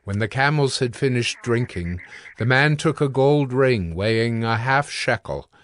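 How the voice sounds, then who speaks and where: A man narrating scripture from a script in a measured, formal manner. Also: mid-range voice, animated, fairly bright tone, no echo, studio quality.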